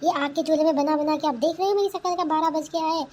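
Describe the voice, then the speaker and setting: A woman's voice: high-pitched, steady tone, fast talker, darkish tone, a little hiss or hum, close to an everyday voice. A woman voicing a defiant, complaining young daughter-in-law in a comedy cartoon. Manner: indignant, complaining, talks back. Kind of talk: Hindi cartoon family comedy dubbing.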